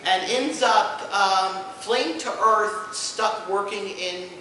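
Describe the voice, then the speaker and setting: Echoey, distant, high-pitched man presenting. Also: slow pace, sharp tone. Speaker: convention panelist presenting audio drama releases. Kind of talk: informal panel presentation to an audience. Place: indoor convention panel room. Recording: echoey room from far off, noisy.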